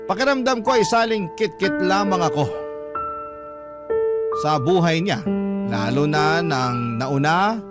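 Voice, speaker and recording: A man's voice: a little high, lively intonation, slow pace, pauses often. A man playing a wounded, jealous young man narrating his love story on radio, aggrieved and self-justifying. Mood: aggrieved, confessional. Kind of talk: dramatic first-person radio narration. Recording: loud music bed under voice.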